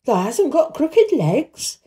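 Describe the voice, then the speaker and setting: A woman voicing a shaky, tactless old Yorkshire gardener man with a hoarse voice, in a storybook reading. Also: high voice, sing-song, dark tone, pitched above the performer's own voice, heavily acted. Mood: shaken disbelief. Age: older. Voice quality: hoarse, quavering. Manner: slow, halting dialect speech.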